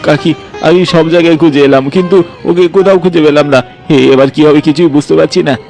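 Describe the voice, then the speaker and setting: An actor playing a distraught young village husband in a horror cartoon, anxious and stammering. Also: male voice, on the high side, steady tone, fast talker, darkish tone, faint music behind, natural voice.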